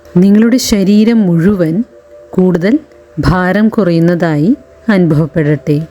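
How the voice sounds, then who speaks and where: A woman guiding a meditation in a gentle, soothing way, in short phrases with long pauses. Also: on the low side. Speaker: meditation guide. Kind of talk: guided meditation narration. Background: background music.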